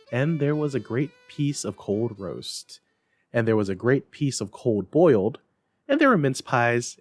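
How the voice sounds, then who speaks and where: A man narrates a classic storybook tale in a mid-pitched voice. His reading is expressive and measured, with a dramatic touch, and music plays behind him. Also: no room sound. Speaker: narrator, library staff reader.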